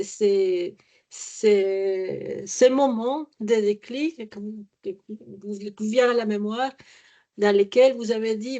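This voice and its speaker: A woman moderating a conference panel who asks her questions haltingly, searching for words. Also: medium pitch, warm tone.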